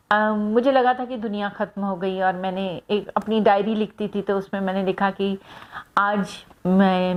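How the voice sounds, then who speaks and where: A middle-aged woman from Punjab, an actress answering fan questions in a casual, reflective way with many pauses and fillers. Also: medium pitch, muffled recording. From Hoshiarpur, Punjab, India. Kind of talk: casual personal talk to camera.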